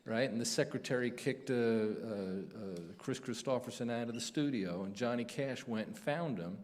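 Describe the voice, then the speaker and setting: A man's voice: medium pitch, moderate pace, a little mellow. A man hosting a radio show on stage, chatting casually and repeating phrases for emphasis. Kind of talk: casual onstage interview chat. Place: stage of a live music show. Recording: reverberant hall.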